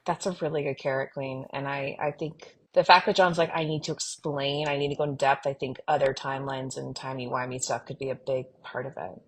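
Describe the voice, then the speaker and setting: A woman's voice: a bass voice, fairly even intonation, brisk, few pauses, breathy edge. A woman co-hosting a podcast in casual fan chat. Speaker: podcast co-host.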